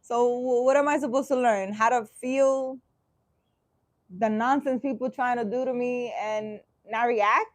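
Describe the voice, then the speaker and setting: A woman with a fairly high voice, recorded dry and close with almost no room sound. She reads a dream interpretation aloud, then breaks in with sarcastic, exasperated asides. Her speech is halting, full of restarts and casual swearing. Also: fairly even intonation, dry recording. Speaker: spiritual vlogger.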